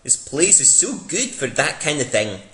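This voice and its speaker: A man's voice: on the high side, big pitch swings, normal speed, bright, forceful tone. A man voicing a brash, combative young Scottish woman in a dramatic reading, blunt and exclaiming. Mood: matter-of-fact. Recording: echoey room.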